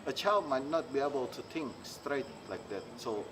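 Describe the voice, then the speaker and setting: A middle-aged man from Indonesia, speaking haltingly in an interview and often restarting as he searches for words. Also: mid-range voice, lively intonation, rough recording. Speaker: interviewee, maker. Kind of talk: casual interview talk. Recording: clear background noise, insects.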